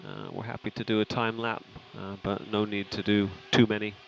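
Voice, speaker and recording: A man working as a race engineer, giving calm instructions over team radio. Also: lowish voice, fairly quick, vocal fry, dull audio. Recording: team radio with background noise.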